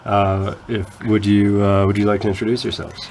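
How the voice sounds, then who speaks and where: A man's voice: on the low side, level tone, moderate pace, a little mellow, slight background noise. A man who hosts a campus radio talk show, explaining at length and often restarting his sentences. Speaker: faculty radio host.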